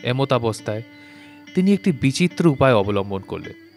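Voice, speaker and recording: A man narrates a literary audio story at a middle pitch. His phrasing is measured and scripted, and faint plucked-string music plays behind him. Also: big pitch swings. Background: plucked-string instrumental music.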